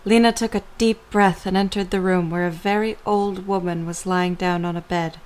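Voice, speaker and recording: A woman reading scripted story narration for an audio drama in a measured, creaky voice. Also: fairly low pitch, steady tone, darkish tone.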